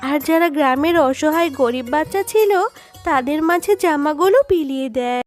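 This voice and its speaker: Very high-voiced woman storyteller over music. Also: normal speed. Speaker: narrator. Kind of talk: scripted children's storytelling narration.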